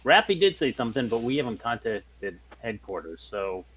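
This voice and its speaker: A man's voice: medium pitch, very animated, normal speed, a crowd in the background, rough recording. A man in casual discussion as a player in a tabletop role-playing game.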